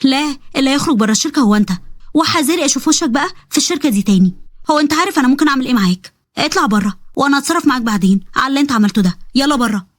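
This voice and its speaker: A woman's voice: high-pitched, expressive, rapid-fire, dry recording, voice pushed up. A woman with an Egyptian accent voicing a firm, fair company owner who talks in a commanding way. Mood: stern.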